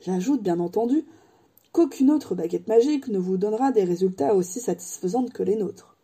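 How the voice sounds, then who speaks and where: A woman's voice: mid-range voice, expressive, moderate pace, dark tone, slightly rough. A woman doing an eerie old wand maker. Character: eccentric old male wand maker, intense. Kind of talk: audiobook character voice.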